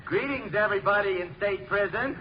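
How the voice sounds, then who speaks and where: An actor playing an earnest prisoner announcing greetings on prison radio in an old comedy show, hearty and list-like. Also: male voice, high-pitched, fairly quick, lightly acted. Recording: muffled, faintly noisy old radio recording.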